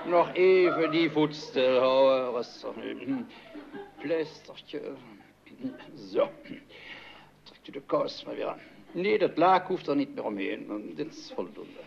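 An actor playing a fussy, bumbling doctor, stammering and awkwardly polite, in old radio comedy style. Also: male voice, medium pitch, animated, takes it slowly, creaky, heavily acted. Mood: soothing, businesslike. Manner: stammering, hesitant, flustered. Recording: muffled old radio recording.

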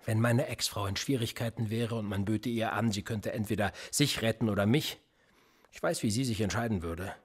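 An actor playing a calm, manipulative male police interrogator in a radio play, pressing with pointed questions. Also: lowish voice, moderate pace, husky. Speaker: police officer.

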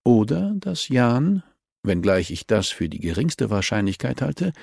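A man voicing a sharp-minded, teasing woman in an audiobook, with a probing, pointed manner. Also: mid-range voice, very animated, unhurried, creaky, husky, dry recording, studio quality, heavily acted. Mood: analytical.

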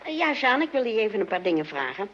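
A woman's voice: medium pitch, sing-song, fast, fairly bright tone, lo-fi sound, a little hiss or hum. A woman playing a middle-aged housewife and mother in a radio play, chattering, fussy and easily distracted. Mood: chatty. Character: chatty, fidgety mother and housewife posing for her son.

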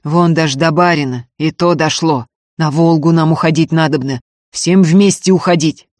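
A narrator playing a hot-tempered, rough young peasant man in a dramatic audiobook. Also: mid-range voice, steady tone, normal speed, dry recording, close to an everyday voice. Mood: muttering, urging. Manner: yells and hisses through gritted teeth.

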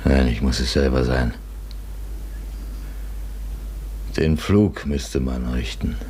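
Radio play: brooding, insistent middle-aged farmer, extremely deep bass, faint noise behind. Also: male voice, steady tone, a little slow, darkish tone. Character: brooding, troubled farmer and father at the table. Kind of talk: German radio drama acting.

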